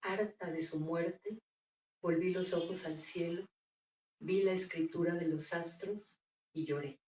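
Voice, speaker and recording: A woman reading her own poems aloud in a measured, deliberate recital at a bookstore. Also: on the low side, fairly even intonation, darkish tone, distant mic, a little hiss or hum. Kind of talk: poetry reading. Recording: echoey room, voice off-mic.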